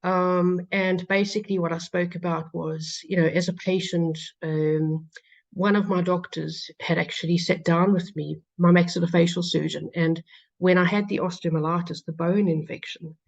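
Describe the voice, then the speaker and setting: A woman with a South African accent giving a conference talk as a patient advocate, pausing often with fillers and restarts. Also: fairly low pitch, fairly even intonation, creaky. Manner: hesitant, many fillers and restarts. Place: lecture hall. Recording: dull lo-fi sound.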